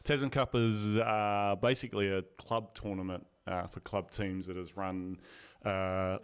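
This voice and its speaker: A man, co-hosting a casual sports podcast with relaxed, dry teasing. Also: fairly low pitch, a little slow, smooth. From New Zealand. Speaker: podcast co-host.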